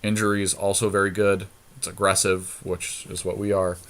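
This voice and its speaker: Male podcast co-host, lower register, casual and deliberative talk about game strategy. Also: little pitch movement, normal speed, a bit bright.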